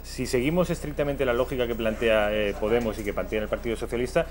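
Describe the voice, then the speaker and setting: A man's voice: medium pitch, fairly even intonation, fast. A man from Valencia moderating a televised political debate, insistently pressing his guests. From Valencia, Spain. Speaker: host, moderator.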